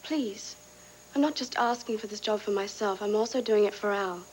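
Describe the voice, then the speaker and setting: A woman's voice: mid-range voice, normal speed, slight background noise, natural voice, low-quality recording. An actress playing a scheming woman who coaxes, pleads and makes veiled threats in a daytime soap scene. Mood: pleading. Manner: silky, coaxing, veiled threats. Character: scheming woman pressing for a hotel job.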